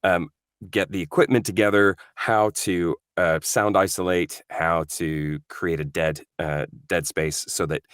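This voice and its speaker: A man's voice: low-pitched, fairly even intonation, a little slow, a bit bright, clear, resonant tone, no room sound, studio quality. A man from Norway, a voice actor guest, chatting in an interview, thoughtful and full of fillers and restarts. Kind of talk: casual interview chat.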